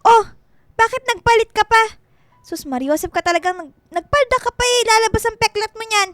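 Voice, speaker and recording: A woman's voice: extremely high pitch, a little fast, fairly bright tone, no echo. A woman playing a fussy, affectionate Filipina mother who nags and exclaims, in a radio drama. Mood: scolding.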